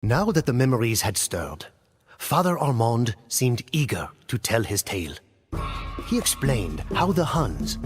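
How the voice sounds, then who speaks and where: A man giving solemn, measured, scripted dramatic narration in the manner of a storyteller for a game cutscene. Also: mid-range voice. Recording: music playing under the voice. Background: clear background music.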